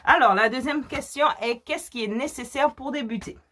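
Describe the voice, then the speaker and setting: A woman's voice: sits high, normal speed, a bit bright, a little hiss or hum. A woman from the French Caribbean chatting casually on video, explaining things step by step with practical advice. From French Caribbean (Guadeloupe and Martinique). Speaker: small cosmetics business co-owner answering questions.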